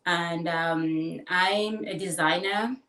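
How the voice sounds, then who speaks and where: A woman from the Democratic Republic of Congo, answering interview questions casually and haltingly, with many fillers. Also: on the low side, steady tone, sharp tone. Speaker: guest, fashion business owner and designer. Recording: roomy echo, distant mic.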